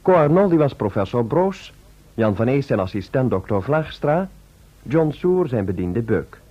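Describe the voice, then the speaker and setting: A man's voice: mid-range voice, very animated, moderate pace, mellow, breathy edge. A man announcing radio drama credits in a formal, vintage broadcast style. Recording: dull old radio recording.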